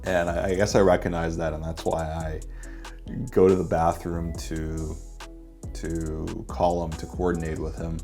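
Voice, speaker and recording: A man's voice: deep voice, flat delivery, a little slow, darkish tone. A man talking as a dating coach, giving a casual commentary breakdown of his own footage with lots of filler words. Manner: casual, laid-back, many fillers. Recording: faint background music.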